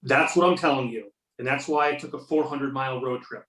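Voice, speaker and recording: A woman's voice: very deep voice, fairly even intonation, brisk, a bit bright. A woman playing a wry investigator making a phone call in an amateur readers theater reading. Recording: echoey room over conference call.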